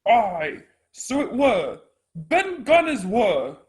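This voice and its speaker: A rough, superstitious pirate crewman in a radio adventure, acted by a woman. The voice is high and lively in pitch, delivered slowly. He speaks in theatrical exclamations. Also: exaggerated voice. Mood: excited. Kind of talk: stage-style radio play acting.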